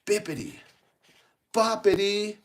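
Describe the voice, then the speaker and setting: Man as storybook fairy godmother, high-pitched, doting, reassuring, gleeful sing-song spell casting. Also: expressive, slow, exaggerated voice.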